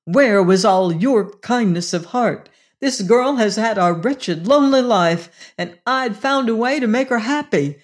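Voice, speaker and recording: A woman's voice: a little high, expressive, a little slow, hardly any pauses, voice pushed up. A woman voicing a passionate, protective man in a storybook, grief-stricken and reproachful, with raised, emphatic delivery. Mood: anguished reproach.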